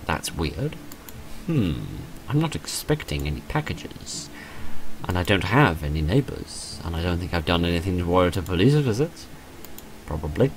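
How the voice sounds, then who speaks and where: A man's voice: low-pitched, big pitch swings, normal speed, background noise. A man voicing a polite, helpful and mildly hesitant homebody woman painter in a visual novel. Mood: puzzled.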